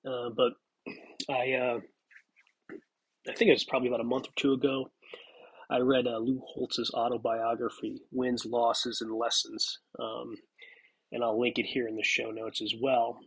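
A man's voice: medium pitch, monotone, moderate pace, long pauses, slightly rough, rough recording. A man in his forties hosting a solo podcast, talking in a reflective, rambling way with many fillers and restarts, a bit stuffy from a cold. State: stuffy from a cold, clears throat. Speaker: podcast host. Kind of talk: casual solo podcast monologue.

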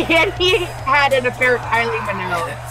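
A woman's voice: sits high, big pitch swings, normal speed, over music, crowd noise, low-quality recording. A woman co-hosting a podcast, chatting casually and sharing trivia while watching a film. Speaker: podcast co-host.

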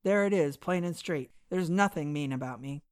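A woman voicing a gruff, bitter male convict with a hard, rasping voice, swinging between rage and oily politeness. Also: deep voice, normal speed, a little mellow, close to an everyday voice. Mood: sly, obsequious.

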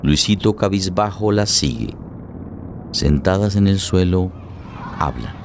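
A man's voice: deep voice, animated, measured pace, creaky, a little hiss or hum. A man narrating a scripted radio drama in a dramatic storytelling style.

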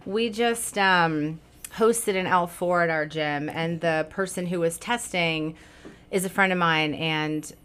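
Woman podcast guest, from New Jersey, direct and quick, smooth clear voice, a bit low. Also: a bit bright. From born in New Jersey, grew up in South Florida. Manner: direct, talks quickly about her life. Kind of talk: casual chat.

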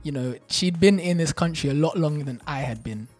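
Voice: mid-range voice, brisk, soft background music. Slangy young storyteller. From Jamaica. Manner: animated storyteller, laughs a lot, slangy. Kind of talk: casual chat.

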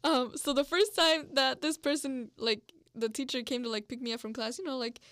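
A woman's voice: high voice, steady tone, normal speed, a bit bright, no room sound, studio quality. Candid young woman, casual storyteller. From Mexico. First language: Spanish. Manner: candid, storytelling, lots of fillers. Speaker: podcast co-host. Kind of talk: casual chat.

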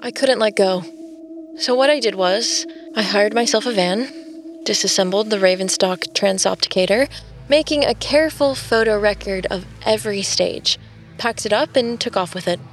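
A woman plays an obsessed, determined artist in an audio drama. Her voice sits at a middle pitch, earnest and confiding, with an insistent edge. Faint music runs behind her. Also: normal speed, close to an everyday voice. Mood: resolute. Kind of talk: audio drama acting with first-person narration.

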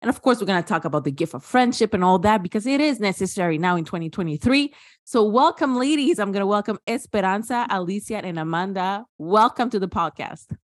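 A woman's voice: medium pitch, lively intonation, a little fast, dry recording, clean studio sound. A middle-aged woman hosting a faith podcast, enthusiastic and repeating words for emphasis. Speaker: podcast host. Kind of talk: warm conversational podcast hosting.

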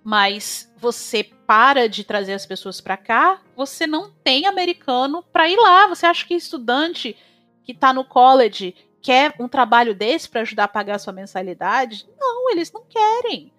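A woman from Brazil with a Brazilian Portuguese accent, a chef talking as a guest in a casual interview, opinionated and animated, her voice jumping up when she stresses a point. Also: high voice, moderate pace, no room sound, soft background music. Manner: animated, opinionated, rising sharply when emphatic. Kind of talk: casual conversation.

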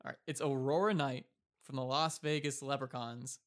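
A man's voice: mid-range voice, fairly even intonation, normal speed. A man co-hosting a sports talk show, giving casual analysis of players and teams. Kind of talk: sports talk commentary on a fictional league.

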